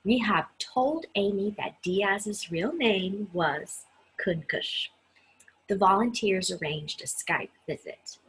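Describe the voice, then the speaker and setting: A woman reading a children's story aloud in an expressive, chatty style, stressing key words with dramatic emphasis. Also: medium pitch, takes it slowly, a little hiss or hum, poor audio. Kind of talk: expressive read-aloud with chatty asides to listeners.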